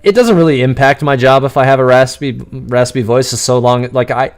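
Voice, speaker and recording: A man hosting a live-stream Q&A, answering chat questions in an exuberant, radio-like way and enunciating words crisply despite quick restarts. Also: mid-range voice, steady tone. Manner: talks fast with frequent restarts and laughs.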